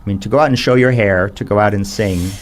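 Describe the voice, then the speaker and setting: A man hosting an interview, asking questions in a measured, emphatic way and repeating phrases for weight. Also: fairly low pitch. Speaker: interview host. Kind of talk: interview conversation.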